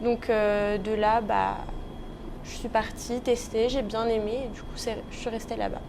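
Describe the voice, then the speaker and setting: A woman's voice: a little high, level tone, moderate pace, gravelly. A young woman of nineteen. She answers questions in a casual interview style. Faint noise sits behind her. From Paris region, France. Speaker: interview guest, professional tennis player.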